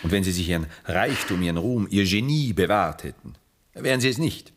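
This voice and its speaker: Deep, coolly measured aging Viennese aristocrat. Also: male voice, animated, a little hiss or hum. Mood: composed, cool. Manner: measured, rhetorical, deliberate phrasing. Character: aging detached aristocratic Viennese man, coolly philosophical bachelor. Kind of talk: 1960s German radio play acting.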